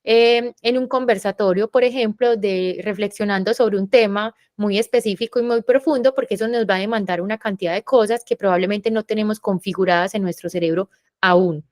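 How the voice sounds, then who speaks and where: A woman's voice: medium pitch, a little fast, a little mellow, dry recording, clean studio sound. A woman, a university professor moderating a panel, talking in a reflective, explanatory way with many fillers. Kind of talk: panel discussion.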